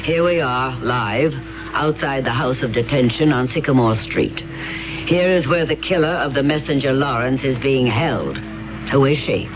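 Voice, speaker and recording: An actor playing a brisk television news reporter in a 1970s radio drama. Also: male voice, medium pitch, over music, close to an everyday voice, rough recording. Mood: announcing. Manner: brisk announcer delivery.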